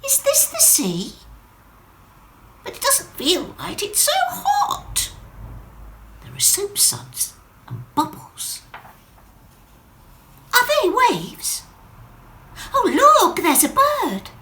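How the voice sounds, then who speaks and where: A woman voicing an excitable, innocent little female water drop in a children's story, wide-eyed, exclaiming and questioning. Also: extremely high pitch, very slow, pauses often, slight background noise, pitched above the performer's own voice, exaggerated voice. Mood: curious, puzzled.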